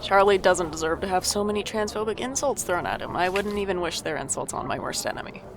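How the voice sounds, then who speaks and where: A woman playing a wry, guarded but caring older sibling in an audio drama, with dry, deadpan delivery. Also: mid-range voice, fast, background noise, close to an everyday voice. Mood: blunt, teasing. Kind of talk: audio drama narration and dialogue.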